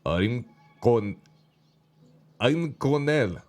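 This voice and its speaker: A man voicing a calm, centered werewolf man with a German accent, speaking in a measured, serious way for a game story. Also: mid-range voice. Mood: friendly.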